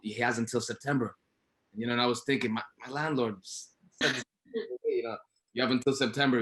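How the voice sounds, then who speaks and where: A man's voice: medium pitch, a bit bright. A man, an actor, talking casually and informally in a panel discussion. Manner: informal, peppered with "you know".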